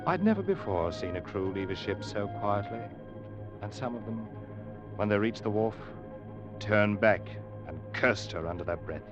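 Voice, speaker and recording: An actor playing a young ship's officer who narrates his tale in a measured, grave way, in 1940s radio drama style. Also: fairly low pitch, lively intonation, lightly acted. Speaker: narrator and first mate Ned. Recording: dull old radio recording with music.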